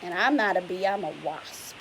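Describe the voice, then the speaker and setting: A woman's voice: on the low side, lively intonation, moderate pace. A young woman teacher reading a picture book aloud to children, chatty and explanatory, stopping often to ask questions. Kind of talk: read-aloud lesson for children. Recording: faint hiss.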